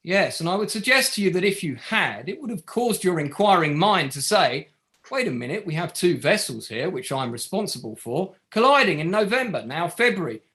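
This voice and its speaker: A relentless barrister acted by a man presses a witness in a courtroom drama. His voice is high and sharply bright, swinging widely in pitch as each pointed, sardonic question lands. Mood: pressing, sceptical. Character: relentless barrister cross-examining a witness at an inquiry. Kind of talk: scripted courtroom drama table read.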